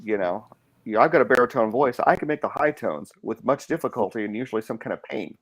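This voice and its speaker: A man's voice: mid-range voice, lively intonation, fast talker, rough recording. A man hosting a podcast who talks in a chatty way and explains things at length. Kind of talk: casual podcast chat.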